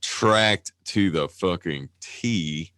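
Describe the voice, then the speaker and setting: Thirty-something guy, real low voice, dry close sound, swears a lot and rambles. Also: drawn-out words, fairly bright tone, clean tone, no echo. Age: 30s. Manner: profane, rambling, self-mocking. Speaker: podcast co-host. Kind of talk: casual chat.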